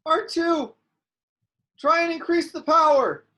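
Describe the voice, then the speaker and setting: A man's voice: very high-pitched, slow pace, pushed and bright, exaggerated voice. A man playing a brave, determined young starfighter pilot in a dramatic script read, giving urgent commands.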